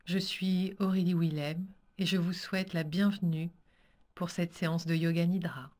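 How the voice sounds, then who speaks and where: A woman teaching yoga nidra, leading a guided relaxation in a calm, soothing, measured manner with pauses between phrases. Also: on the low side.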